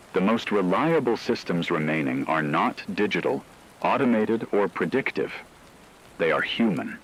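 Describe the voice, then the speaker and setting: A man playing a solemn radio news broadcaster, delivering a scripted emergency report in a measured, formal way. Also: low-pitched, fairly bright tone, slight background noise, heavily acted, low-quality recording. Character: grave male radio newsman reporting societal collapse.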